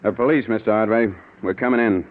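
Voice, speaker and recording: An actor playing a blunt, skeptical American detective captain in 1940s radio style, on a tinny old broadcast. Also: male voice, on the low side, fairly even intonation, quick pace, lightly acted. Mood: brisk authority. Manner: blunt, commanding, sardonic.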